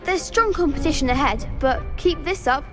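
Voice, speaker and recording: A high, youthful schoolgirl from a fantasy game, acted by a young woman. She explains things briskly and with confidence, like an organiser of a student club. Music plays clearly behind her. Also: natural voice. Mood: eager, confident. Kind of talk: scripted video game acting.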